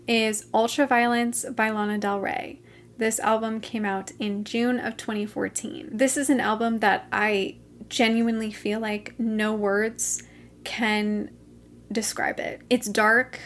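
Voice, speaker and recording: A young woman in her twenties chats to camera in a casual vlog, gushing with enthusiasm. Her voice sits a little high and has gone slightly hoarse from talking so long. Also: slight background noise. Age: 20s. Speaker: channel host. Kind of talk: casual music review vlog.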